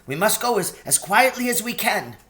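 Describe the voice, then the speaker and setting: A man's voice: high voice, sing-song, normal speed, sharp tone, pitched above the performer's own voice, full character voice. A man voicing a timid, kind male faun in a storybook, weepy and guilt-ridden, pleading in an anguished stammer. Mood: anxious, resolved.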